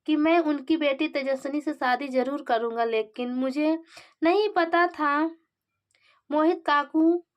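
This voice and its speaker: An earnest young man, voiced by a woman narrator speaks in a high pitch. He is determined to honor a promise, in a serial drama retelling. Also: a little slow, dull audio. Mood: earnest, insistent.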